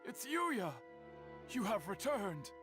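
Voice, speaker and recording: An actor playing an earnest, formal and expressive swordsmith in a video game. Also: male voice, high voice, takes it slowly, music in the background, exaggerated voice. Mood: excited.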